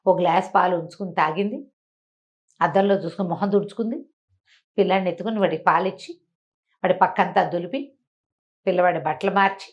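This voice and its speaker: A woman's voice: on the low side, highs cut off. A woman telling a folk tale aloud in a conversational, oral storytelling style.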